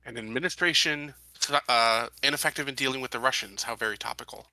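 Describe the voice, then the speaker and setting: Very bright-voiced man at a game. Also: medium pitch, quick pace, vocal fry, no room sound, rough recording. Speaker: player. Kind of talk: casual tabletop roleplay chat.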